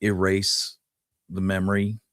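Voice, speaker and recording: Male podcast co-host, low voice, dry recording, casual and laid-back history talk. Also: monotone, takes it slowly, a bit bright, clean tone, dry recording.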